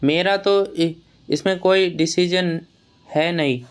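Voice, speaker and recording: High-pitched, smooth-voiced man. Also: takes it slowly. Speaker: exam news vlogger advising job aspirants. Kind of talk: informal explanatory update addressed to students.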